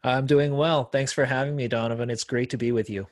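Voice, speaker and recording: Male guest in his thirties from South Korea, easygoing and quick to joke, dry sound. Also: mid-range voice, steady tone, no echo. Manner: joking, laughs easily, conversational. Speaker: pastor and apologist. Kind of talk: casual interview guest.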